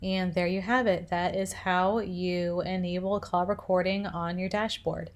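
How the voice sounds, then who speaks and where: A woman with a slightly low voice narrates an instructional screencast. She guides the listener through the software one step at a time, as the presenter of a tutorial. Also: fairly even intonation, unhurried.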